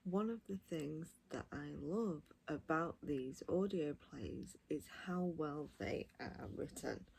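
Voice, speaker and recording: Low-pitched, unhurried woman reviewer with gravelly creak. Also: darkish tone, low-quality recording. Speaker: audiobook reviewer. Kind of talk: casual review talk to viewers.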